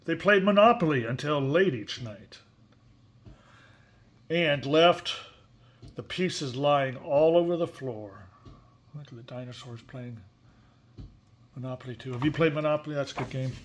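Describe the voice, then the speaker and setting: A man's voice: fairly high pitch, a little slow, long pauses. A man reading a picture book aloud expressively, with casual, chatty asides and questions to a young listener. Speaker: story reader.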